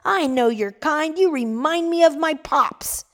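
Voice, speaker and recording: A woman's voice: high-pitched, very animated, moderate pace, voice pushed up. A woman voicing an enraged young man in his early twenties who screams and accuses. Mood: furious.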